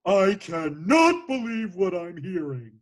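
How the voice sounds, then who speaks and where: A man's voice: high voice, sing-song, exaggerated voice. A man voicing a dim, childish, carefree starfish buddy in a cartoon table reading, simple-minded and teasing. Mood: taunting. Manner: slow, simple-minded, taunting.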